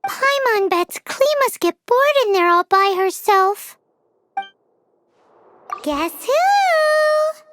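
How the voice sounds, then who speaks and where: A woman voicing a tiny, chatty, excitable fairy companion in a video game, cheeky and exclamatory. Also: drawn-out words, long pauses, no echo, soft background music, heavily acted. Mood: mischievous. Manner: squeaky, exclamatory, refers to herself in third person. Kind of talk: scripted video game character acting.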